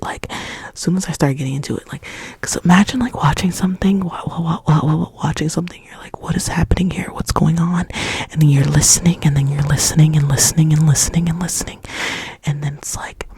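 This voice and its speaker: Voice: mid-range voice, darkish tone, slightly rough, dry recording. A young adult ASMR creator whispering a rambling chat at home, often repeating words over and over as triggers. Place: home indoors, people upstairs.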